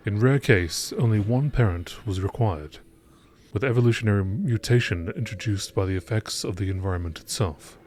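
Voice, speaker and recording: A man reading scripted text aloud in a measured nature documentary narration style. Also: lowish voice, a little mellow, slight background noise.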